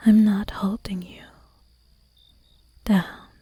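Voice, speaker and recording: A woman voicing a seductive, predatory female vampire who teases her prey in slow, close, breathy whispers in an ASMR roleplay. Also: mid-range voice, flat delivery, dark tone, no echo, full character voice. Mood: seductive teasing.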